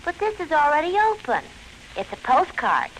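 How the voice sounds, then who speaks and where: A woman's voice: high-pitched, very animated, normal speed, a bit bright, poor audio. A woman playing an earnest, naive young secretary in a 1940s radio drama. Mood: earnest, innocent. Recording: vintage radio recording, faint noise.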